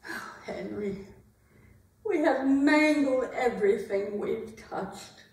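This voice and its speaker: A woman's voice: on the high side, slow, a little mellow. A woman acting a proud, sardonic, wounded queen in a staged dramatic reading, with a dry, pointed theatrical delivery. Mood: wounded, wistful. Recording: roomy, echoing hall, distant microphone.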